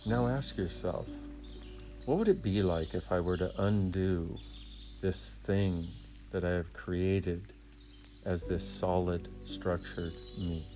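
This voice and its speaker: A man with a low voice guides a meditation. He speaks soothingly and deliberately, breaking his sentences into short phrases with long pauses. Music plays behind him along with birdsong.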